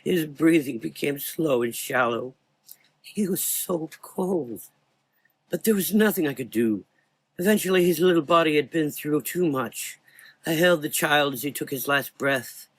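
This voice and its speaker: A man performs an old blind doctor in a gothic horror audio drama, pitched on the high side. He is guilt-ridden and frightened, pleading and stumbling over his words. The recording is close and dry. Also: a bit bright, no echo. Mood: anguished, haunted. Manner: anguished, halting, stammering.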